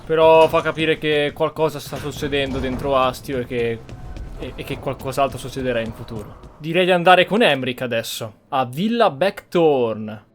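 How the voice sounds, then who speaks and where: A man's voice: mid-range voice, fairly quick, soft background music. A man giving casual commentary as he hosts a video game playthrough. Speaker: gamer, channel host.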